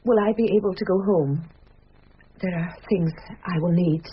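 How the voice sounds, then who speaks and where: An actress playing a distraught, pleading titled lady with a husky voice in a radio drama. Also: fairly low pitch, moderate pace, slight background noise, natural voice. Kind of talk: British radio drama acting. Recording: muffled radio drama recording.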